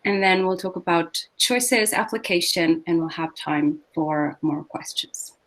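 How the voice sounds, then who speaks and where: A woman with a somewhat low voice presents an online teaching workshop. She explains things steadily and pauses often on ums. She sounds like she is on a computer mic during a video call. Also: low-quality recording. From Argentina. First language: Spanish. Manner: measured, explanatory, frequent ums. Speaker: English language instructor.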